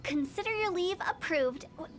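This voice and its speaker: A woman's voice: extremely high pitch, animated, normal speed, a little hiss or hum. A woman voicing an eccentric, cheerfully morbid young funeral director in a game, with playful teasing. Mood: breezy, teasing. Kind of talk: video game character acting.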